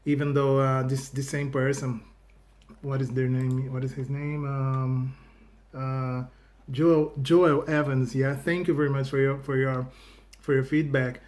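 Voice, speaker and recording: A man's voice: medium pitch, flat delivery, measured pace, a little mellow. A Brazilian man whose first language is Portuguese, hosting a casual reaction video in English with a Brazilian accent. He often restarts phrases and fills gaps with "you know.". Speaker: YouTube reaction host. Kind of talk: casual video chat to viewers.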